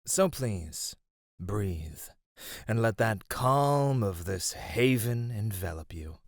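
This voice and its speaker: Guy acting gentle flirty god of radiance, low voice, super slow n soft, formal asmr roleplay. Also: expressive, dry recording, studio quality. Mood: soothing, tender. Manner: soft, formal, tender speech.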